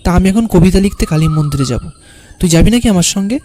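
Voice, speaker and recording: A man playing a carefree, stubborn young city poet who tells his own tale in an animated horror story. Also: high voice, fast talker, a little hiss or hum. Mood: curious, dismissive. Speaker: narrator and lead character. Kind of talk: first-person story narration and cartoon dialogue.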